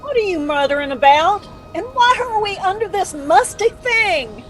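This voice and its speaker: A woman's voice: extremely high pitch, lively intonation, moderate pace, fairly bright tone, slight background noise. An actress playing a confused, forgetful mother in an audio drama, fretful and scolding as she complains. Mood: agitated.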